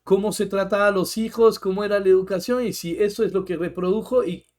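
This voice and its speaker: Guy, highish voice, thoughtful podcast co-host, restarts here and there. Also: steady tone, quick pace, a little mellow. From French-speaking country. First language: French. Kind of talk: reflective conversation.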